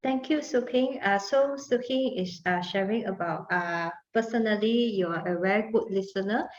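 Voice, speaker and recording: A woman's voice: mid-range voice, moderate pace. A woman with a Malaysian English accent hosts over a video call and encourages her guests as she speaks. The audio sounds roomy and echoey, with a dull, muffled tone. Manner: encouraging, affirming. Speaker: host and coach. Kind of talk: casual video call chat.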